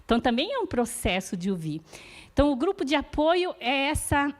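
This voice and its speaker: Higher-voiced woman teaching warmly. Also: very animated, fairly quick. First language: not Portuguese. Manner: earnest, encouraging, often adds "né?". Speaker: support group teacher. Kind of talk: church teaching talk.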